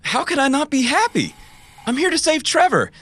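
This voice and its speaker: A man's voice: very high-pitched, huge pitch swings, normal speed, a bit bright, exaggerated voice. An actor playing a dazed, fragile mercenary father in an audio drama, deludedly happy, foggy and fervent. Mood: dazed elation.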